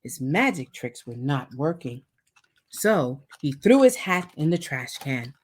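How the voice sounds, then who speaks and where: A woman reading a children's picture book aloud as a storytime host, expressive and deliberate for a young listener. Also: sits low, breathy edge.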